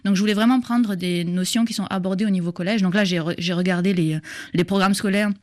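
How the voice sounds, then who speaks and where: A woman's voice: medium pitch, fairly even intonation, fast talker, dry recording. A woman in her thirties whose accent blends southern French with Québécois. She explains science topics patiently, stopping and restarting often, with plenty of fillers. Her voice is recorded dry, with no room sound. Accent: southern French mixed with Québécois. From southern France. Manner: hesitant, many restarts and fillers. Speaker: guest, science popularizer. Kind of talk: explanatory interview talk.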